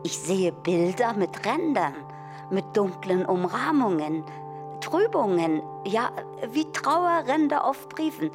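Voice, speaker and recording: A woman's voice: medium pitch, very animated, takes it slowly. A woman playing an introspective, melancholy character in a literary radio play monologue. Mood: melancholy, musing. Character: introspective woman quietly describing her failing sight and solitude. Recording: music under the voice. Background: music.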